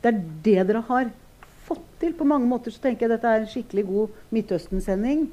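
A woman's voice: on the high side, dark tone. A middle-aged woman with a Norwegian accent hosting an informal conversation on a theatre stage. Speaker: host. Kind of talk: informal onstage interview.

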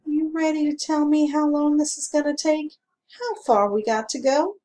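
A woman's voice: high-pitched, normal speed, mellow, pitched above the performer's own voice, natural voice. A woman voicing a middle-aged rural male constable who talks in a folksy, drawling way, sour by turns and chatty. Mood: grumbling. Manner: folksy drawling talk, sour then gabby. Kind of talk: audiobook character reading.

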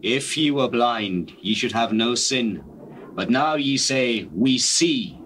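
An actor playing a solemn, authoritative holy teacher in dramatized scripture, declaiming in measured phrases with deliberate pauses. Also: male voice, mid-range voice, animated, fairly bright tone. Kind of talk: dramatized scripture, classical stage delivery. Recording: faintly noisy old cassette.

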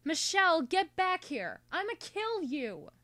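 A woman's voice: very high-pitched, sing-song, a little slow, bright, forceful tone, dry recording, voice pushed up, heavily acted. A woman playing a chatty, feisty teenage girl in an amateur voice drama. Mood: furious. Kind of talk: amateur animated drama acting.

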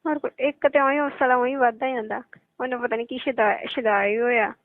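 A woman with a Punjabi accent talks in a high voice, chatting casually and privately. The sound is a narrow, tinny telephone call, close and dry with no room around it. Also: steady tone, a little fast, dry recording. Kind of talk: intimate casual phone chat.

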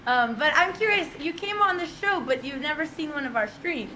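A young woman from Canada co-hosting a streamed speed date, teasing with playful deadpan jokes. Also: sits high, fairly quick, slight background noise, low-quality recording. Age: young adult. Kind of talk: casual teasing chat. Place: indoor room with plants.

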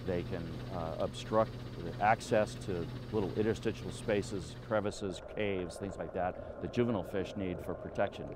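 Deep-voiced man explaining in an interview. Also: normal speed, few pauses. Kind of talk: documentary interview. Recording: faint engine and outdoor noise.

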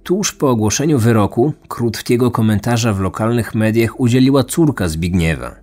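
A man's voice: mid-range voice, animated, moderate pace, darkish tone, soft background music. A man hosting a true crime podcast, reading scripted documentary narration.

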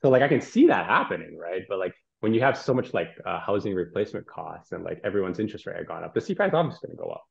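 Casting: man, deep register, conversational panelist, heard through lo-fi call sound. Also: big pitch swings, rapid-fire, vocal fry, hardly any pauses. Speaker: real estate professional, panelist. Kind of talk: casual panel discussion. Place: indoors, on a remote video call. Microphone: video call, earbuds in view. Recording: dull, lo-fi video call audio.

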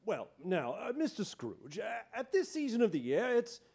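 Casting: courteous male charity collector played by a man, high animated voice, earnest and stammering, stage radio-drama delivery. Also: moderate pace, gravelly, husky, full character voice. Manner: hesitant, courteous, stammering politely. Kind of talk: live staged reading of 1930s radio drama. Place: theatre stage, live performance. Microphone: boom-stand stage microphone.

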